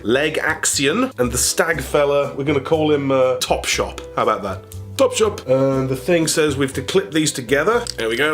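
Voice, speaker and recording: A man's voice: medium pitch, fairly bright tone. A man with a stuffy, nasal cold, chatting jokily as he unboxes toys for his channel. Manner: chatty, jokey, self-amused. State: congested, nasally. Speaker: toy unboxing host. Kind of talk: casual unboxing chat.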